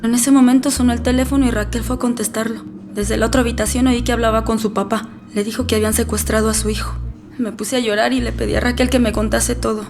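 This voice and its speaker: A worried, suspicious young wife played by an actress gives her testimony in an audio drama. She speaks fast in a fairly high voice, with faint music behind her. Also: little pitch movement, darkish tone, natural voice.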